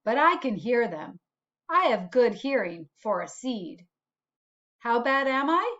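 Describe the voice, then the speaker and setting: Woman as a storybook bad seed, rueful confession, slow drawn-out delivery, slightly high, muffled recording. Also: a bit bright, heavily acted. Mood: brazen confessing. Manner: deliberate, drawn-out dramatic storytelling.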